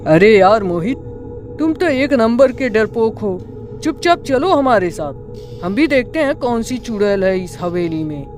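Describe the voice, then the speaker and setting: Young village treasure hunter for horror cartoon, acted: dramatic, exclamatory, slow delivery, soft music behind. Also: mid-range voice, very animated. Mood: nervous, bickering. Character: frightened or cocky young village friends hunting treasure. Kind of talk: Hindi cartoon horror story dialogue.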